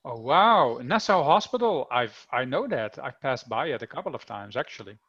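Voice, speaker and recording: A man's voice: mid-range voice, animated, moderate pace, fairly bright tone, husky, dry recording, dull audio. A man from the Netherlands with a Dutch accent, teaching an online coding class to children in a chatty, upbeat way over a video call. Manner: upbeat, chatty, talks to kids directly.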